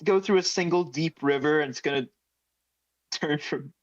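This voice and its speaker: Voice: mid-range voice, normal speed, dry recording. Casual tabletop player on dull lo-fi audio. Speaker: player in a tabletop role-playing game. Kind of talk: casual game chat.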